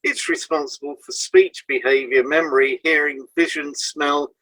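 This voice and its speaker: A man presenting a scripted educational podcast in a measured, explanatory way, setting out items one by one. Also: fairly high pitch, steady tone, pushed and bright, no echo, rough recording.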